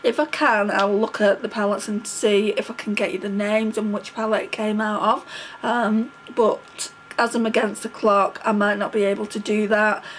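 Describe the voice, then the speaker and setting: A woman's voice: medium pitch, flat delivery, moderate pace, a little hiss or hum, low-quality recording. A middle-aged woman vlogging casually while doing her makeup, chatty and rambling, with a stuffy nose from a cold. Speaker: beauty vlogger.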